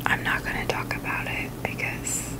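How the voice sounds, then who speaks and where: A woman whispering in an informal, halting ASMR style as she hosts a review video. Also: rough recording. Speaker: video host reviewing sneakers.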